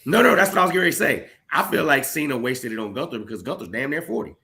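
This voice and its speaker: A man's voice: medium pitch, expressive, rapid-fire, creaky, bright, forceful tone. A man in his late thirties co-hosting a casual podcast, arguing his opinions insistently. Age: late 30s. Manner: insistent, argumentative. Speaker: podcast co-host.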